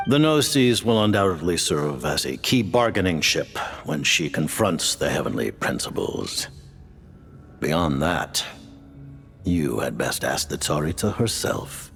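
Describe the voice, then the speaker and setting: Stern, formal commander; very low and gravelly, wide swings, soft score behind. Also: male voice, very animated, a little slow, heavily acted. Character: stern, formal highest-ranking male commander. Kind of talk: video game voice acting. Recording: game audio with music.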